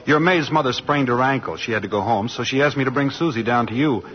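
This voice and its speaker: A man playing an easygoing, apologetic gentleman in a 1940s radio drama, mild, polite and a little hesitant. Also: medium pitch, quick pace, a little hiss or hum, close to an everyday voice. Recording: muffled vintage 1940s radio broadcast.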